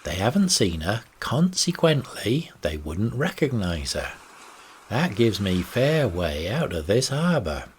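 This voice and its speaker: A man's voice: on the low side, very animated, a little slow. Hearty, scheming old skipper, acted out by a male reader, salty and emphatic, swinging delivery, slight noise behind. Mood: scheming, insistent. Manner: salty nautical talk, emphatic. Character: shrewd, hearty old sea captain, bossy and fatherly.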